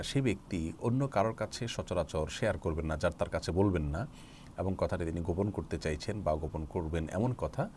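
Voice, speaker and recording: Low, gravelly male religious lecturer. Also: a little mellow. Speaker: Islamic scholar answering religious questions. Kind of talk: religious question-and-answer lecture. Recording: noticeable background noise.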